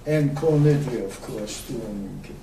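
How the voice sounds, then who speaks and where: A man, recalling his life in an oral history interview, slow and hesitant with many pauses. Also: medium pitch. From Austria. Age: 90s. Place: room at a community center. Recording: echoey room, distant mic, faint hiss.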